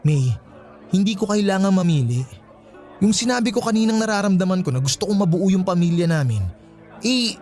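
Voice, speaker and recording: High-voiced pleading Filipino boyfriend. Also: male voice, a little mellow. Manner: pleading, placating, embarrassed. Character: flustered, apologetic two-timing young boyfriend. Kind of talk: Filipino radio romance drama acting.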